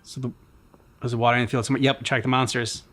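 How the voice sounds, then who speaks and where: A man's voice: medium pitch, little pitch movement, fast talker, a bit bright, a little hiss or hum. A man hosting a reaction video, commenting casually on a game as it plays. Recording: game music underneath. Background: game music from the video being watched.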